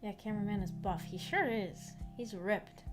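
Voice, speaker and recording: A woman's voice: mid-range voice, normal speed. A woman hosting a casual livestream, reading out comments and answering them in a hesitant, rambling way that often trails off. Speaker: streamer hosting a visual novel book club. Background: background music.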